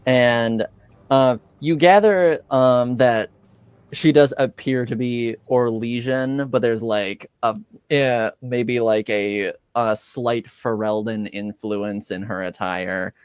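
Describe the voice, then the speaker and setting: A man's voice: mid-range voice, fairly even intonation, slow, clean tone, no echo. A man improvising tabletop game narration as game master, hesitantly searching for his words.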